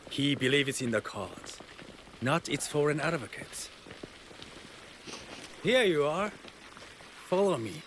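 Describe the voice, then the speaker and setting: An actor playing a solemn, devoted follower of a feudal Japanese warlord in a video game, speaking deliberately and formally. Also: male voice, a little high, big pitch swings, a bit bright, exaggerated voice. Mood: solemn conviction. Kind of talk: dramatic video game acting. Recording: clear rain and ambient noise behind.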